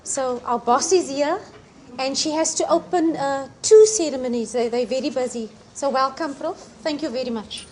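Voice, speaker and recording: A woman introducing the keynote speaker at a university event, informal and slightly halting. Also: high-pitched, a little mellow, slight background noise. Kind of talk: welcome and introduction.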